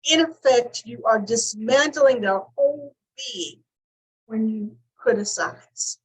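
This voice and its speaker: A woman counselor on a talk show, explaining points in measured, listed steps. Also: a little high, husky, poor audio. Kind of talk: teaching talk show discussion.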